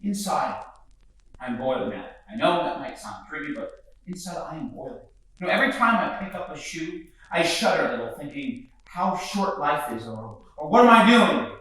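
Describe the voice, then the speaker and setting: A man's voice: fairly high pitch, very animated, normal speed, pushed and bright. An actor playing a restless young adult son, wry and jittery, who stumbles over his words. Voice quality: wry, jittery, stammering restarts. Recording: echoey roomy sound from far off.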